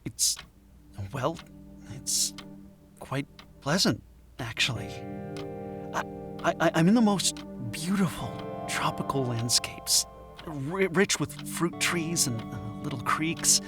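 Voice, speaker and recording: A man acting a troubled middle-aged anthropology professor in an audio drama, guarded and halting. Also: a little high, lively intonation, music in the background. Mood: wistful, halting. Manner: hesitant, halting, defensive. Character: troubled forty-year-old male anthropologist professor, guarded, haunted.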